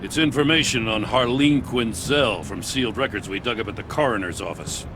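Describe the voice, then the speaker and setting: Man playing tired decent american cop, middle aged, lowish voice, noisy city ambience. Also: brisk, close to an everyday voice. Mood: sympathetic, weary. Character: middle-aged police officer, decent, weary, plainspoken. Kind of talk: video game voice acting.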